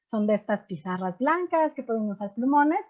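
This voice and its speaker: A woman with a Mexican accent, a chemistry teacher in her thirties, talking casually as a radio guest and filling pauses with "este" and "pues". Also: fairly high pitch, expressive, a little mellow, highs cut off. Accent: Mexican Spanish. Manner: chatty, frequent fillers like "este" and "pues". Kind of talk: relaxed radio interview chat.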